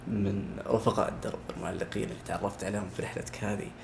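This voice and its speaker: A man hosts a casual podcast interview, winding through long, chatty questions. His lowish voice has a gravelly edge and he talks quickly, with a faint hiss in the background. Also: little pitch movement, darkish tone. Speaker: podcast host.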